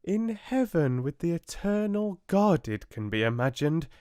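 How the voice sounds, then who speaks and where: Guy doing little nature spirits from a fairy tale, high airy voice calling out from above. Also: very animated, measured pace, a little mellow, dry recording, clean studio sound. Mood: joyful, reassuring. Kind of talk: fairy tale audiobook characters.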